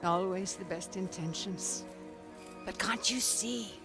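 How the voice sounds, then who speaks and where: An actress playing a stern, weary, ancient woman mentor who speaks in measured, formal phrases in a dramatic game cutscene. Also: mid-range voice. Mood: grave, resolute. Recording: music under the voice.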